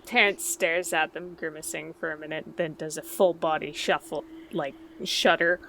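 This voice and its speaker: Woman, tabletop game player, bright voice, casual action description, conversational, background ambience. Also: low voice, fairly even intonation, moderate pace. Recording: faint noise, background ambience.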